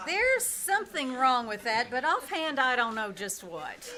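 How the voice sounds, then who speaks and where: Woman playing a bright, high-voiced wry schoolteacher. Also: unhurried, heavily acted. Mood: dry, sly. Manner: dry, deadpan quips. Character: wry, quick-witted woman schoolteacher, flustered but tart. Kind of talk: old-time radio comedy acting before a live audience. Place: live stage in a small-town theater. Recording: faint noise, live small theater stage.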